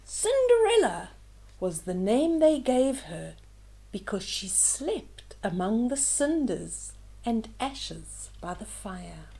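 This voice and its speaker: Slow, mellow woman storyteller. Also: mid-range voice, slightly rough. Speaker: children's storyteller. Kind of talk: storybook read-aloud with asides to young listeners.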